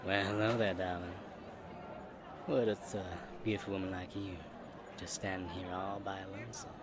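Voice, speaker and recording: A man's voice: sits low, flat delivery, normal speed, very noisy, close to an everyday voice. A man playing a smarmy, cowardly flirt with a Southern US accent in a Western romance audio drama. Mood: smooth-talking, flirtatious.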